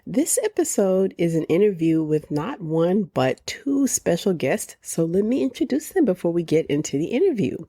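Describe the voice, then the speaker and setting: A woman hosting a podcast, reading a slow, deliberate introduction before her interview. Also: fairly low pitch, big pitch swings, mellow, dry recording, studio quality. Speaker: podcast host. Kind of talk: scripted intro, then interview questions.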